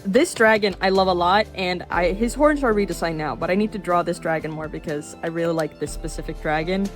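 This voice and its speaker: A woman of twenty-one chatting casually in a rambling way while showing her sketchbook, with fillers and quick laughs. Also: fairly low pitch. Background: soft background music.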